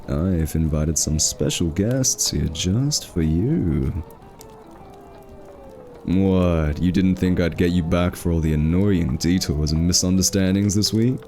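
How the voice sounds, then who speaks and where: A man's voice: sits low, warm tone, slight background noise, heavily acted. A male voice actor playing a smug, flirty thief in an ASMR roleplay, teasing and drawling with suggestive innuendo. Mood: smug, playful. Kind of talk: ASMR roleplay, scripted character acting.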